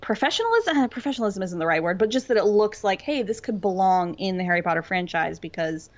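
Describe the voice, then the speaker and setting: A woman's voice: medium pitch, sing-song, quick pace, dull audio. A woman co-hosting a podcast in casual chat, gushing and informal, peppering her talk with "like". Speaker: podcast co-host.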